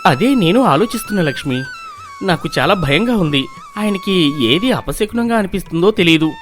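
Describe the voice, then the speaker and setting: A timid village barber from a storybook, voiced by a man. He speaks humbly and anxiously in a highish, expressive voice, with music softly behind. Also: moderate pace, full character voice. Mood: fearful. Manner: deferential, anxious. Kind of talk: animated storybook dialogue.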